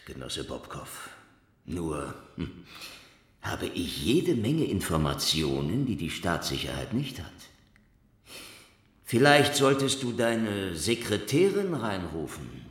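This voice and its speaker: Deep, swooping, sardonic ex-detective. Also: male voice, big pitch swings, takes it slowly, reverberant, full character voice. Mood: sly, needling. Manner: mocking, mock-courteous, theatrically provocative. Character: sardonic former secret-police detective, provocative and theatrical. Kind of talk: German radio drama acting.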